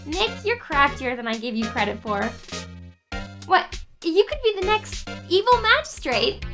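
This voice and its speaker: A woman's voice: very high voice, very animated, unhurried, a bit bright, faint music behind, heavily acted. A woman voicing a playful, cheeky teenage girl assistant in a video game fan dub. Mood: playful, teasing.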